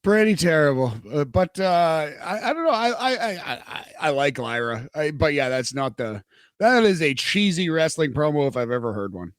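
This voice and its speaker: A man with a somewhat high voice co-hosts a wrestling podcast in loose, casual chat. He laughs readily and often trips over his words, repeating them as he goes. The sound is close and dry. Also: big pitch swings, moderate pace, dry recording. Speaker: podcast co-host.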